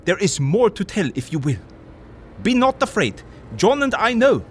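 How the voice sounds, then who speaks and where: An actor playing a fervent, devout older professor who speaks formal, stilted English in an audio drama. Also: male voice, high-pitched, big pitch swings, normal speed, a little hiss or hum. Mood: eager. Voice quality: old-fashioned, stilted foreign-sounding phrasing. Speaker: vampire hunter professor.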